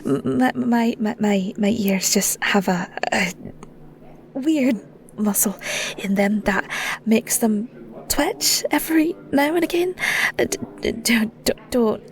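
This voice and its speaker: Woman playing a slow, stammering, shy Scottish werewolf girl. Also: on the high side, lively intonation. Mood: nervous, embarrassed. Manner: stammering, giggly, awkward, hesitant. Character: shy, flustered young female werewolf, kindly shepherd. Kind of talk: intimate audio roleplay.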